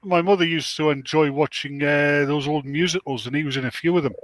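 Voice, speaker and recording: A man's voice: fairly high pitch, steady tone, brisk, no room sound. A man chatting casually as a co-host, joking and crude, given to mock grumbling. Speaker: co-host heckling old radio shows. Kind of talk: casual chat.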